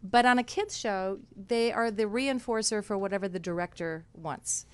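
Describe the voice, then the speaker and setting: A woman's voice: mid-range voice, normal speed, clean tone. Bubbly woman interview guest, mid-range voice, laughs easily. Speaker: guest dialogue coach. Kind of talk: casual interview chat.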